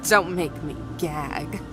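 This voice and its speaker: A woman's voice: lowish voice, drawn-out words. A woman playing a sly, teasing lion-eared goddess, playful and taunting, in an audio drama. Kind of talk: audio drama character acting. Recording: music under the voice.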